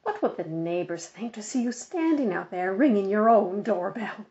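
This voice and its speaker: A woman's voice: medium pitch, animated, moderate pace, a little mellow, natural voice. A woman voicing a weary, impatient mother in a storybook, curt and exasperated. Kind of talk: storybook character voice. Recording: dull, muffled lo-fi audio.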